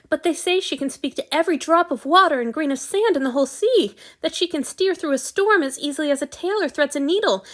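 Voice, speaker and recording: Lady reading as a high breathless young servant guy, gushy and teary, audiobook. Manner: breathless, gushing, tearful. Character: young male human servant, eager and emotional.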